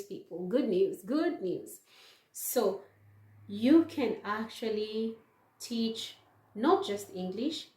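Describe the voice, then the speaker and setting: A woman's voice: mid-range voice, darkish tone. A young adult woman with a Kenyan accent talking casually to camera in an earnest manner, often repeating words and restarting. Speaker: vlogger, English teacher. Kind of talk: casual vlog talk to camera. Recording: echoey room.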